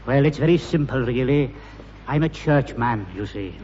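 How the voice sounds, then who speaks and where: A man's voice: mid-range voice, moderate pace, darkish tone, natural voice. An actor playing a hesitant, obsequious, pious man selling dodgy goods in a British sitcom, often repeating himself. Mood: smooth-talking, pious. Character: shifty, ingratiating chapel-going man selling dodgy goods. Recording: muffled, noisy old sitcom recording, reverberant.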